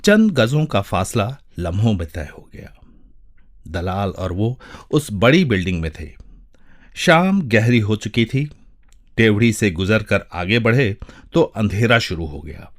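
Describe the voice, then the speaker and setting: A man narrating a literary story in a dramatic, expressive way, measured and pausing between phrases. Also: fairly low pitch.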